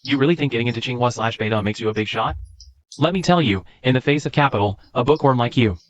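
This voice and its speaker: A man's voice: medium pitch, fast talker, slight background noise, close to an everyday voice. A man playing an arrogant, rich young rival in a comic drama, mocking and haughty. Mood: sneering. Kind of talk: dubbed comic drama dialogue.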